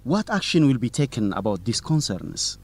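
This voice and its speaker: Formal, lively young male student reporter. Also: medium pitch, moderate pace, slightly rough. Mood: polite, formal. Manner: formal, courteous, probing. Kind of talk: scripted educational radio drama, interview dialogue.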